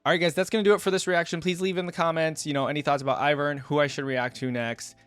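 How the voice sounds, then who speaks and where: A man's voice: a little high, level tone, fast, fairly bright tone, clear, resonant tone, no echo. A man hosting a reaction video, giving enthusiastic, rambling, off-the-cuff commentary in a casual way.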